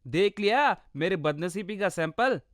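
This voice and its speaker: A man's voice: sits high, expressive, unhurried, dry recording, studio quality. A man voicing an unlucky, complaining village man in a storybook comedy. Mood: self-pitying. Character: self-pitying unlucky village man and his easygoing friend.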